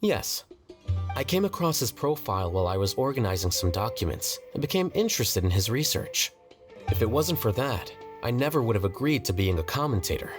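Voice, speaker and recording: An actor playing an aloof, rational young scholar in a video game, speaking in a measured, formal and detached way. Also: male voice, mid-range voice, very animated, music in the background, lightly acted. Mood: composed, matter-of-fact.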